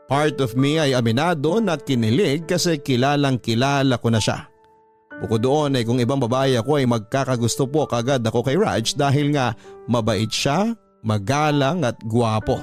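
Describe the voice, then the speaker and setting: Filipino male narrator, mid-pitched, letter-reading radio storyteller, light music bed. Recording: soft music bed behind.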